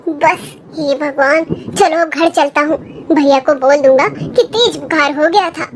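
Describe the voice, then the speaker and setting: A high-voiced storyteller voicing a careless younger brother in a village moral tale. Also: measured pace. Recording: music under the voice.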